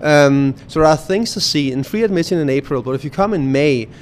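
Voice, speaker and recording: Danish-accented man, halting and filler-heavy. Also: fairly high pitch, animated, a little hiss or hum. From Denmark. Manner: hesitant, many fillers and restarts. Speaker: museum executive director. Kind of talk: casual radio interview.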